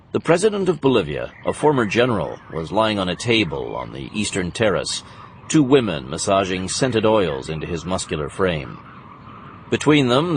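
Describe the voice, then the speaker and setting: A man's voice: deep voice, slight background noise. A man reading scripted narration for an action thriller audiobook.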